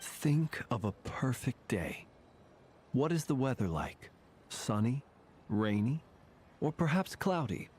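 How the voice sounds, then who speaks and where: A man voicing a refined, patient gentleman in a game, with formal, polite and measured phrasing. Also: mid-range voice, sing-song, slight background noise. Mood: courteous. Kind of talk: animated game dialogue. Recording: music playing behind.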